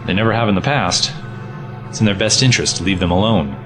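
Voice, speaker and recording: An actor playing a wry resistance scientist in an audio drama, explaining things drily. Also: male voice, low voice, expressive, fast. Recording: music behind the voice.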